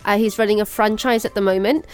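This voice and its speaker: A woman's voice: medium pitch, steady tone, fast talker, smooth, soft background music. A woman hosting a podcast, reading an upbeat promotional intro and then welcoming her guest. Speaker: podcast host.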